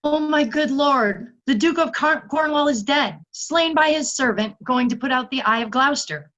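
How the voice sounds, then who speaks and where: Casting: actress as nervous, respectful messenger for stage tragedy reading, high-pitched, urgent; dull lo-fi audio. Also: female voice, animated, normal speed, fairly bright tone. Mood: anxious, urgent. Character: anxious, deferential messenger bearing grim news. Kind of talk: Shakespearean reader's theatre.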